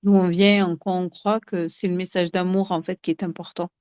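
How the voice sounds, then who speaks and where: A woman's voice: fairly low pitch, level tone, normal speed, darkish tone, clean tone, no echo, studio quality. A woman in an online study group thanking the speakers, hesitating with fillers.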